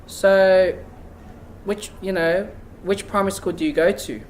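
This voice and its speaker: A man's voice: high voice, fairly even intonation, unhurried, slight background noise, low-quality recording. A young man hosting a casual talk show on a couch, asking questions with lots of "like" and "you know", recorded indoors on a camera mic a few feet away. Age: young adult. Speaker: host. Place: small room indoors, seated on a couch.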